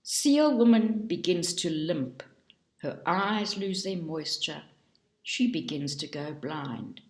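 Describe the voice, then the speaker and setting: A woman reading a book aloud in a slow, deliberate and expressive way. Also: fairly low pitch. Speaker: reader. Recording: roomy echo.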